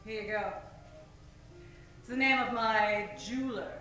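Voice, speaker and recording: A woman's voice: fairly high pitch, unhurried, sharp tone. A woman acting a sly, sultry femme fatale with a coolly teasing manner in a noir radio parody. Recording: echoey, distant live stage recording. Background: faint music.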